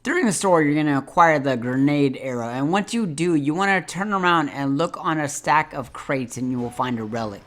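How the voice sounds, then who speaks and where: A man's voice: a little high, animated, fairly quick, few pauses, a bit bright. A man hosting a game guide, giving directive, step-by-step walkthrough commentary.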